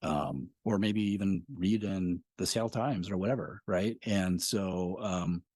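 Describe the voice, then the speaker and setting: A man's voice: low voice, unhurried, darkish tone, no room sound, studio quality. A middle-aged man, a podcast creator talking as a guest in a thoughtful, hesitant way. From Washington State. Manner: thoughtful, hesitant, many fillers and restarts. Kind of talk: casual interview chat.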